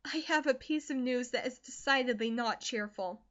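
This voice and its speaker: A woman voicing a high, pleading anxious schoolgirl. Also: moderate pace, fairly bright tone, dull audio, lightly acted. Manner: pleading and distressed. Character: anxious earnest teenage schoolgirl.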